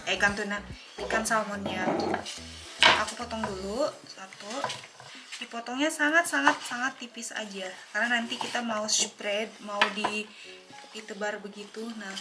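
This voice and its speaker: Chatty Makassar woman cooking, far from mic. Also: medium pitch. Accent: Makassar Indonesian, softened by years in Jakarta. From Makassar, Indonesia. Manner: chatty, explains each step with asides. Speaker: cooking vlog host. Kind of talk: casual cooking demonstration. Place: home kitchen. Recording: echoey kitchen, far from the mic, loud music.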